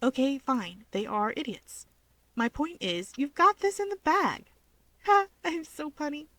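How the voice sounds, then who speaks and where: Storybook young wizard girl, voiced by a woman reader: higher-pitched, perky, earnest, playful and quick with a tease. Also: a bit bright, no room sound. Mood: encouraging. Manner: playful, quick-witted, encouraging. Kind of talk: fan fiction character reading.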